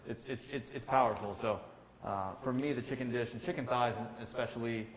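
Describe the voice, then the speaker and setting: A man's voice: mid-range voice, brisk. A middle-aged American man from Kentucky, a chef talking casually and telling stories as a guest. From Kentucky, United States. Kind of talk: casual conversational storytelling. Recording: roomy, echoey room with faint noise.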